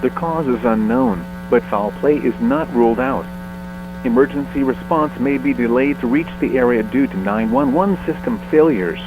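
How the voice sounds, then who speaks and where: A man reading a scripted emergency broadcast announcement in a formal, measured way. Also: medium pitch, lively intonation, music in the background. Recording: muffled narrow-band alert audio.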